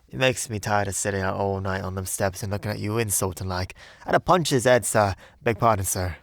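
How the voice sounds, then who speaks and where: A man's voice: fairly low pitch, fairly quick, vocal fry, dry recording, clean studio sound. A man voicing a young Cockney bellboy in an audiobook, deferential but indignant and uneasy, speaking ungrammatically. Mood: grumbling, indignant. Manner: ungrammatical, servile, peppered with "sir". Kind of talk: audiobook character voice.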